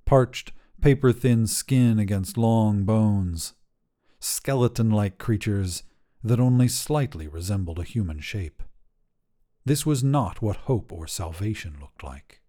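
Low, mellow male story narrator. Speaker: fiction podcast narrator. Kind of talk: scripted short story narration.

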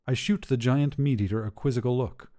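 Guy narrating a short story podcast, warm mellow voice, mid pitch. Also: brisk. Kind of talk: scripted first-person fiction narration.

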